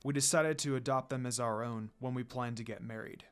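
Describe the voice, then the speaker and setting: A man's voice: mid-range voice, steady tone, a little fast, clear, resonant tone, voice pushed down, lightly acted. A man voicing a proud, protective demon lord in a story, a formal and firm but dutiful son. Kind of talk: storybook character reading.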